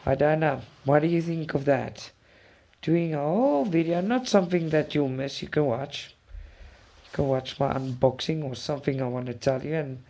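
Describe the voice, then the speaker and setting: Gravelly Afrikaans-accented woman, effusive chat. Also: sits low, dark tone. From South Africa. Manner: chatty, effusive, repeats words. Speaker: cross-stitch channel host. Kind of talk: casual chat with live viewers.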